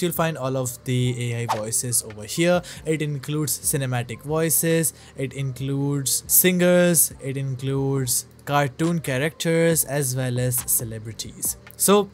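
A man giving a scripted tutorial narration as a software reviewer. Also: on the high side.

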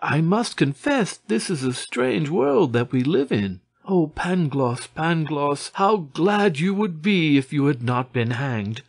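A man voicing an excitable, earnest young man full of wonder in an old storybook tale, with emphatic exclamations. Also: on the high side, takes it slowly, gravelly, few pauses, voice pushed up, heavily acted. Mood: amazed.

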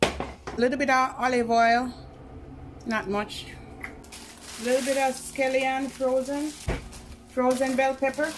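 A woman's voice: high voice, little pitch movement, slow pace, long pauses. Jamaican woman chatting over a sizzling pan. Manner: chatty, keeps calling to viewers. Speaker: cooking vlogger. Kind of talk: casual cooking demonstration. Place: home kitchen at the stove. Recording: sizzling pan and kitchen noise behind.

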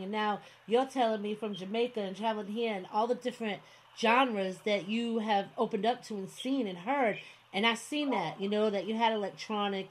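A woman's voice: medium pitch, slight background noise. Chatty woman radio host, rambling. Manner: chatty, rambling, many fillers and laughs. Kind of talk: casual interview chat.

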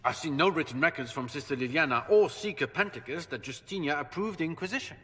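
An actor playing a pompous, indignant noble marquis in a fantasy video game. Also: male voice, fairly high pitch, lively intonation, fast talker, pushed and bright. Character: haughty noble marquis, middle-aged man. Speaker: game character. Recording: reverberant game audio.

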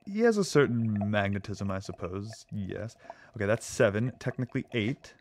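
A man's voice: fairly low pitch, animated, normal speed, a little hiss or hum. A man playing a nebbish lab assistant in an audio drama, hesitant, fussy and apologetic in naturalistic dialogue. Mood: fussy, teasing.

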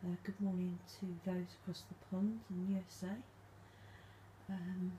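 A woman vlogging at night from her dark bedroom, speaking softly and calling out to spirits, her voice a little stuffed up from hay fever. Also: on the low side, little pitch movement, measured pace, a little hiss or hum, low-quality recording. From England. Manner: hushed, soft-spoken, calling out to spirits. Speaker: paranormal vlogger. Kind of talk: quiet late-night ghost hunting vlog.

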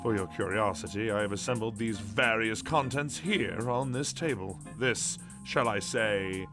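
A man's voice: fairly low pitch, unhurried, music in the background, natural voice. A man voicing an eccentric, obsessive old gnome who narrates a cartoon comic dub in a grandiose, dramatic way. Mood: theatrical, conspiratorial. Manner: grandiose, dramatic, storyteller phrasing.

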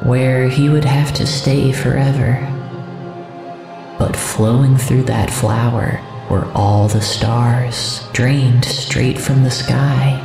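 A man narrating a scripted audio drama in a solemn, deliberate storytelling manner. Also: medium pitch, gravelly. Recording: echoey, with music underneath. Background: music.

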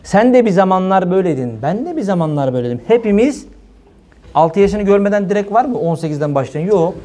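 A man gives an informal religious sermon in a colloquial, animated way, asking rhetorical questions. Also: high voice, fast talker. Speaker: preacher. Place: small room indoors.

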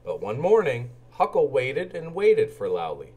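A man reads a children's storybook aloud as a storyteller. His delivery is expressive and animated, with intonation that moves widely from line to line. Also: medium pitch, unhurried, breathy edge. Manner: animated, acts out character lines.

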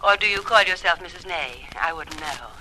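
An actress playing a brisk, slyly insistent insurance agent through a telephone filter in a 1950s radio drama. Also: female voice, on the low side, animated, pushed and bright, pitched below the performer's own voice. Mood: smooth, brisk. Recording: noisy phone voice, old radio.

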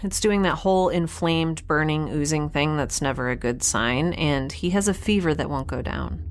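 A woman's voice: deep voice, normal speed. An actress playing a candid young woman scientist in a sci-fi audio drama, recording a rambling, hesitant log with dry wit. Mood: weary, wry. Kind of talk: audio diary narration in scripted drama.

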